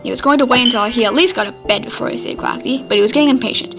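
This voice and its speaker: A woman's voice: on the high side, very fast, music in the background. A woman reading fan fiction aloud as a podfic narrator. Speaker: podfic reader.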